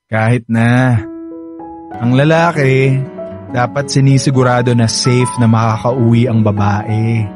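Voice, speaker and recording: A man's voice: mid-range voice, steady tone, a little slow, long pauses, close to an everyday voice. A man playing a gallant, bashful young suitor in a Filipino radio romance, with a Filipino accent and an earnest, teasing manner. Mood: caring, earnest. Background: background music.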